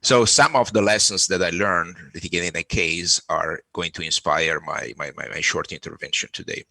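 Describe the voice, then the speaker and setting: Deep voiced guy, law prof at a webinar, keeps repeating words, dry sound. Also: vocal fry, sharp tone, no echo, low-quality recording. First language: Italian. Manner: halting, with many repeated words. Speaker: law professor, panelist. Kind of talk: conference talk on a webinar.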